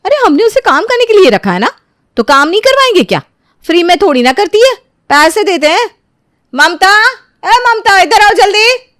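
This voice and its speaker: A high-pitched, haughty young housewife, played by a woman in a moral story. Her voice swoops up and down as she nags and orders the maid about, short-tempered and curt, in a dry close recording. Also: very animated, normal speed, fairly bright tone, no room sound, exaggerated voice. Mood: scolding. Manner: shrill, haughty, nagging. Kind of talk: dramatised Hindi moral story.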